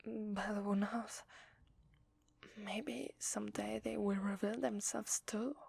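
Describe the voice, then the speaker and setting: A woman with an Italian accent playing a gentle, apologetic vampire in an ASMR roleplay, whispering softly and hesitantly close to the microphone. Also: medium pitch, fairly even intonation, takes it slowly, full character voice. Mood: shy, confessional. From Italy. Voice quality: soft breathy whisper. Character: gentle, apologetic female vampire confiding in a human. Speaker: ASMR voice actor. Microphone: close microphone, dry.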